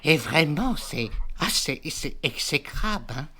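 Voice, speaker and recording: Comedy prank call: exasperated old lady played by a woman, low-pitched but very swooping, stammering, repetitive, faint noise behind. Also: very animated, measured pace, sharp tone, exaggerated voice. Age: elderly, as played. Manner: hesitant, stammering, sighing, repetitive. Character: exasperated rambling 82-year-old woman fed up with her brother.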